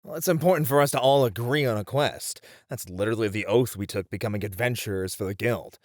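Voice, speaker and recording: A man playing a sensible, nice warrior in a fantasy audio roleplay, earnest and measured with mild exasperation. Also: fairly low pitch, no echo, studio quality, lightly acted. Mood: firm.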